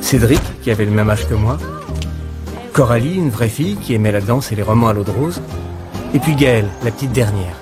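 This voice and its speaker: A middle-aged man narrating a film in a calm, introspective voice-over. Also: mid-range voice, a little fast. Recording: voice-over with loud music behind.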